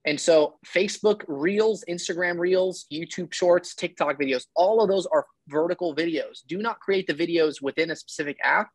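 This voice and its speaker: A man's voice: a little high, moderate pace, hardly any pauses, no room sound. A man in his thirties giving casual, emphatic and blunt advice as a coach on a one-on-one video call. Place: indoor room, video call.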